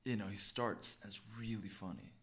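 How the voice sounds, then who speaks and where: A man co-hosting a casual podcast, telling stories in an excitable, slangy way and often repeating himself. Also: fairly low pitch, fairly quick. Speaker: podcast co-host. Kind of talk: casual chat, animated storytelling.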